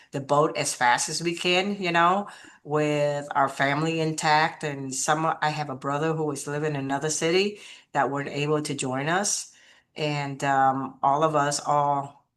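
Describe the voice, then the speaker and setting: A woman in her fifties from Vietnam with a Vietnamese accent, telling her life story as an interview guest, halting with many fillers and restarts. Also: low voice, a bit bright. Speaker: martial arts master guest.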